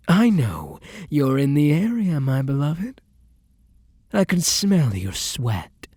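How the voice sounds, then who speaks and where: A man's voice: medium pitch, mellow, dry recording, heavily acted. A man playing a hungry vampire in an ASMR roleplay, theatrical and intimate, predatory yet devoted to his beloved. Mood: taunting, menacing. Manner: theatrical, drawn-out endearments, breathy whispers. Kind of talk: dramatic ASMR roleplay acting.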